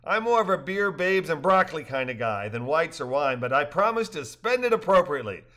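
A cheerful young river guide, as a male narrator puts him on. He talks with a smile in a high, bright voice. It is a storybook character reading. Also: big pitch swings, fairly quick. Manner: cheerful, smiling delivery.

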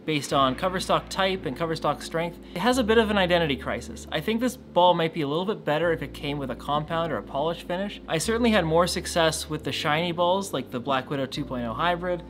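A man's voice: on the high side, expressive, quick pace, few pauses, a bit bright. A man in his thirties gives a casual bowling ball review in a large bowling alley, muttering play-by-play between throws. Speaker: pro shop ball reviewer.

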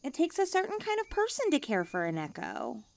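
A woman's voice: high voice, wildly swooping pitch, brisk, vocal fry, full character voice. A woman voicing a cute, self-important young stray catgirl in a languid ASMR roleplay. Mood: breezy, smug. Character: cute, smug, pampered, drowsy young female stray catgirl.

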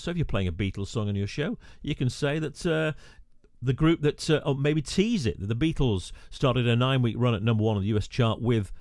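Radio presenter, male, mid-pitched, casual tutorial talk, dry close mic. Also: darkish tone, no room sound. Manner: chatty, wry asides, frequent "uh" fillers. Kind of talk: screen-recorded tutorial narration.

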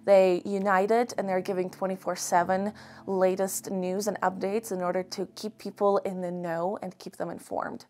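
A young woman from Ukraine answering interview questions earnestly, choosing her words with measured, hesitant pauses. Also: medium pitch, level tone, darkish tone. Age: young adult. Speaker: interview guest. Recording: music behind the voice. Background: background music.

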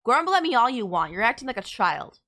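A woman's voice: fairly high pitch, very animated, quick pace, pushed and bright, heavily acted. A woman playing a sardonic villain nemesis in an audio roleplay, scolding and teasing the listener in an exasperated but caring way. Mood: scolding care.

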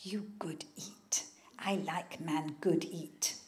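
A woman voicing a motherly older wife who speaks soft, coaxing broken English. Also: sits low, fairly even intonation, takes it slowly, whispered, pitched below the performer's own voice. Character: plump, motherly old Ukrainian wife who bakes.

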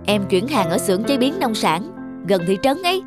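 A helpful, kindly cartoon porter , played by a woman. He talks in a friendly, plain-spoken way over clearly audible music. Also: fairly high pitch, sing-song, moderate pace. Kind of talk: cartoon dubbing.

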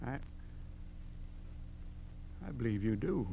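An actor playing a nervous, reluctant garage owner mixed up in crime, hesitant and stammering, in a 1940s American radio drama. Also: male voice, mid-range voice, lively intonation, slow pace, vocal fry, dark tone, slight background noise. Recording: dull, humming old radio recording.

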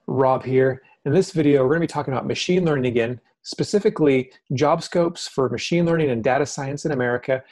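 A man's voice: medium pitch, a little mellow. A young man hosting an interview, speaking in an upbeat, friendly way over earbuds from a home room with bookshelves. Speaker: host. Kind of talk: casual interview on a video call. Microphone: earbuds on a video call.

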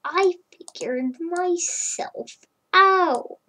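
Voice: very high voice, very slow, sharp tone, full character voice. A child voicing a cheerful number block that has just found out it is shaped like a one-legged table, in a playful, sing-song way. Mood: proud, playful.

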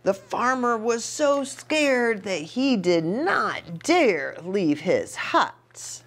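A middle-aged woman reading a picture book aloud to young children, animated, with chatty asides and questions for her listeners. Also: a little high, drawn-out words. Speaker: children's ministry storytime host. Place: small indoor reading nook with bookshelf and curtains.

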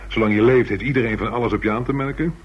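An actor playing a sardonic, needling police detective in a radio play, with hesitant little pauses. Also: male voice, medium pitch, breathy edge, close to an everyday voice. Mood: wry. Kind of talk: radio drama acting. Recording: muffled old radio recording, slight hiss.

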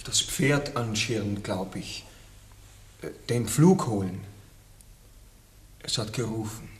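Noisy old radio play, brooding farmer dad, halting and uneasy, cutlery clinking. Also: male voice, fairly low pitch. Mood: haunted, resolute. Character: uneasy, haunted farmer and father. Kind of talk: 1960s German-language radio play acting.

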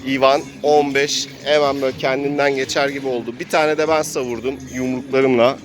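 A man's voice: mid-range voice, little pitch movement, brisk, a little hiss or hum. A man casually improvising narration as he plays a role-playing game.

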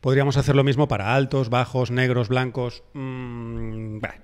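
A man's voice: mid-range voice, flat delivery, moderate pace, clean tone. A man with an accent from Spain talking casually as a podcast co-host, on a close microphone in a room. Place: room at a table, indoors. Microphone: close podcast microphone with headphones.

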